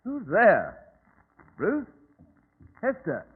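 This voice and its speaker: A man's voice: high voice, wildly swooping pitch, extremely slow, exaggerated voice. A man playing an irritable, fearful lord in an old-time radio horror drama. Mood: irritated, wary. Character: irritable, terrified Scottish lord in his study. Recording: noisy, tinny old radio recording, clicks.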